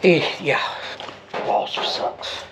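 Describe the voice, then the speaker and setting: An American man chatting casually through a DIY job in a folksy, rambling way, muttering to himself as he works. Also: extremely slow. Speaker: DIY motorcycle vlogger. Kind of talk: casual unscripted how-to vlog chat. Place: shed workshop. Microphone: action camera. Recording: noisy action-camera audio with clicks.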